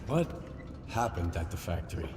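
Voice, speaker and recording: Low-voiced terse veteran, echoey. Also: male voice, big pitch swings, normal speed. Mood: guarded. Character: terse, guarded war veteran stalker, bitter and determined. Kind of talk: video game cutscene acting. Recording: echoey reverberant space, loud ambient noise.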